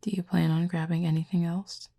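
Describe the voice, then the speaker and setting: A woman voicing a calm, curious cupid in an intimate audio roleplay, speaking softly and half-whispered with formal, measured, gently dry phrasing. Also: lowish voice. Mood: calm, gently teasing. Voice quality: breathy, soft, half-whispered. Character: calm, curious otherworldly cupid who feeds on feelings.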